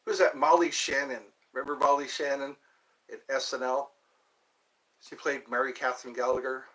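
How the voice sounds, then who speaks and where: A man's voice: medium pitch, level tone, normal speed, a bit bright, poor audio. A man in his fifties hosting a livestream, chatting casually with viewers in a rambling, joking, self-deprecating way. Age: 50s. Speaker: livestream host. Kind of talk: casual chat answering viewers.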